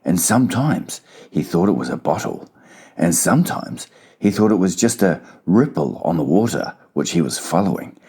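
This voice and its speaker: A man narrates a children's story in a low, gravelly voice. His pitch moves in lively swings through the dramatized audiobook reading. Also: moderate pace.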